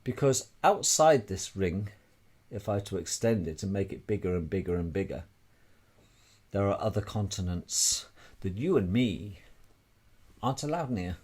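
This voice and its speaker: A man's voice: on the low side, animated, moderate pace, long pauses, a little mellow, breathy edge. A man giving an informal, emphatic explanatory monologue as an amateur presenter, repeating phrases and posing rhetorical questions.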